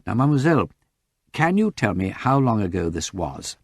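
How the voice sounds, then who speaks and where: A polite, anxious English detective, read by a male narrator speaks in a low, breathy voice that moves freely in pitch. The sound is close and dry. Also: sing-song, normal speed, dry recording. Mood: earnest. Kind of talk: audiobook character voice.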